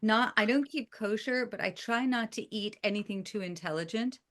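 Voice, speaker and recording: A woman's voice: mid-range voice, fairly bright tone. A woman author as a podcast guest, talking casually with frequent fillers and restarts. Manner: chatty, halting with fillers and restarts.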